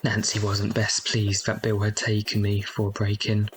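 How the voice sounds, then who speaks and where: A man's voice: fairly low pitch, monotone, normal speed, slight background noise, lightly acted. An actor playing a wistful narrator recalling his boyhood in an audio drama. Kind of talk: scripted first-person narration.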